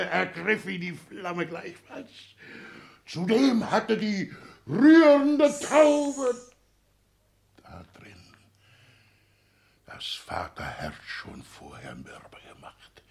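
An actor playing an exultant, conspiratorial schemer in a 1960s German radio drama, gravelly and theatrical, moving between hushed whispers and outbursts. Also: male voice, sits high, very animated, slow, exaggerated voice. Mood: exultant, gloating. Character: exultant, conspiratorial schemer gloating over his conquest. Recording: 1960s studio radio recording.

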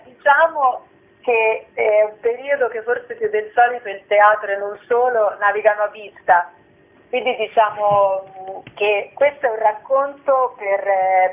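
Woman on a narrow telephone line. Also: medium pitch. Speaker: theatre festival organiser, interview guest. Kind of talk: spontaneous radio interview. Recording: muffled, tinny phone line.

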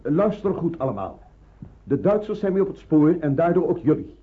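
An actor playing a wartime pastor who gives urgent, authoritative orders in a radio play. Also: male voice, on the high side, very animated, normal speed, slight background noise. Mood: urgent, commanding. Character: commanding wartime village pastor hiding fugitives. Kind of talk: radio drama dialogue. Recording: narrow tinny old radio recording.

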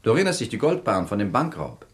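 A man's voice: fairly low pitch, big pitch swings, fast. An actor playing a casual, soothing male gangster husband in a satirical radio crime comedy.

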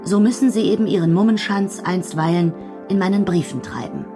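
A woman playing a wry chronicler of café society in a radio drama, narrating in a measured, knowing storytelling manner. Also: medium pitch, over music, lightly acted. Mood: wry, amused.

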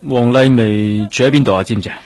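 An actor playing a composed, methodical police detective in a Cantonese radio drama, with a Hong Kong accent and a measured, probing, matter-of-fact delivery. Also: male voice, medium pitch, darkish tone, natural voice. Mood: probing, businesslike. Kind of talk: radio drama acting, questioning and inner monologue.

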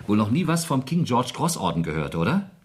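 An actor playing a loyal, seasoned, middle-aged police sergeant with pointed irony in a 1970s German radio play. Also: male voice, medium pitch, fairly quick, husky. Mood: proud, pointed. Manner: expressive, ironically pointed. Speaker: actor in a radio crime drama.

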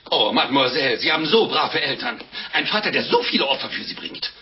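An actor playing an anxious, pleading, pious chubby little monk in a flustered, exclaiming way in a 1950s radio play. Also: male voice, medium pitch, big pitch swings, fast, lightly acted. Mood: pleading, placating. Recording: muffled old 1950s radio recording, music behind.

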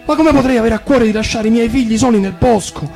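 A man's voice: very high-pitched, quick pace, over music, voice pushed up. A man voicing a poor, anxious woodcutter father who pleads and stammers in a fairy-tale reading. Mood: anguished pleading.

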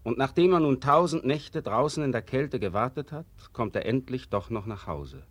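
An actor playing the solemn, bitter narrator of a 1940s radio play, speaking gravely and with deliberate emphasis. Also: male voice, mid-range voice, lively intonation, no room sound. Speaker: prologue narrator.

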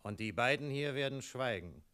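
An actor in a radio play voicing a menacing, imperious centuries-old dragon with a curt, cold way of commanding. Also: male voice, mid-range voice, lively intonation, moderate pace, fairly bright tone, natural voice. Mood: threatening. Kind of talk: German radio play acting.

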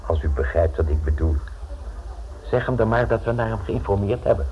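An actor playing a theatrical Italian mafia enforcer in a Dutch radio play, mock-courteous and insinuating, pausing deliberately for effect. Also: male voice, fairly low pitch, animated, lightly acted. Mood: quietly threatening. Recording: dull, noisy old radio play recording.

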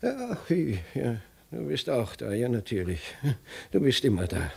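An actor in a 1950s German radio drama playing a weary, gentle old emperor and father, musing and hesitant. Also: medium pitch, wildly swooping pitch, measured pace, vocal fry, slightly rough, exaggerated voice. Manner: musing, hesitant, mildly reproachful.

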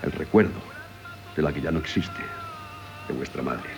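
A man's voice: rumbling low, moderate pace, gravelly, over music. An actor with a Castilian accent playing a genial, hesitant middle-aged country doctor and father in a 1970s Spanish radio drama. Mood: wistful. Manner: hesitant, many filler sounds.

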